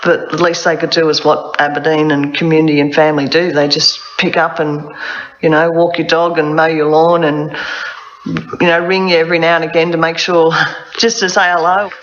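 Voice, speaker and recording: A woman's voice: low voice, little pitch movement, moderate pace. A middle-aged woman with an Australian accent speaking informally and unscripted in an interview. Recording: dull, noisy interview clip.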